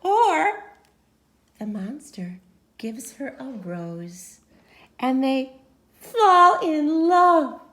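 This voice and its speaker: A woman reading a picture book aloud like a teacher to children, theatrical and emphatic, with dramatic pauses and sudden swells. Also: high voice, very animated, fairly bright tone.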